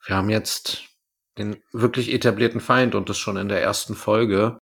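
Man, fairly deep voice, podcast co-host, casual recap, conversational. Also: steady tone, normal speed, creaky, a bit bright, breathy edge. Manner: conversational, loosely retelling from notes. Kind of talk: casual review and recap of an audio drama.

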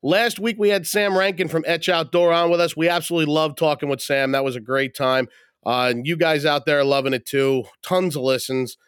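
Laid-back male podcast host, a bit rough. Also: on the high side, steady tone, fairly quick, fairly bright tone, no room sound, studio quality. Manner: laid-back, joking, swears casually. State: sick, voice still rough. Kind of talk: casual podcast chat and show intro. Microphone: remote call, mutes own mic.

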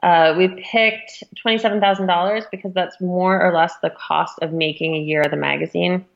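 A woman's voice: on the low side, fairly even intonation, moderate pace, vocal fry. A woman speaking as an interviewed magazine editor, explaining things in an informal, conversational way with some hedging. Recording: dull, muffled lo-fi audio.

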